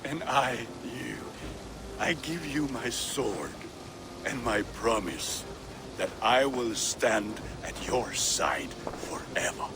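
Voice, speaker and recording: A devoted Viking smith speaks with slow, halting tenderness in game dialogue. His delivery rises and falls widely and is full of sincere feeling. Clear ambient noise runs behind him. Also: mid-range voice, expressive. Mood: tender, moved. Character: warm-hearted Viking blacksmith groom, devoted and sentimental. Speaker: video game voice actor. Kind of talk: dramatic game dialogue acting.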